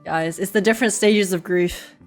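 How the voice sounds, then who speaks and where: A giggly, excitable woman giving casual reaction commentary as she plays a game. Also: mid-range voice, moderate pace, over music.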